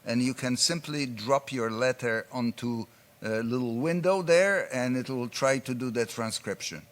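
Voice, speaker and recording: A man's voice: medium pitch, normal speed. A man presenting at a conference, answering audience questions in an explanatory way with frequent fillers. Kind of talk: conference Q&A talk.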